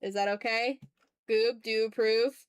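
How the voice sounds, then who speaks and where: Playful, higher-voiced woman chatting on an art stream. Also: fairly even intonation, moderate pace, clean tone, no echo. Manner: playful, teasing, mock-exasperated, banters with viewers. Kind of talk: casual livestream chat while drawing.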